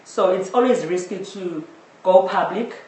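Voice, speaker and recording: A woman's voice: medium pitch, a little slow, dark tone, recorded from a distance. A woman with a South African accent giving a personal account as a guest in a live interview. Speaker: interviewee, audio drama maker. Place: echoey indoor space, live-streamed discussion. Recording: very echoey room, faint noise.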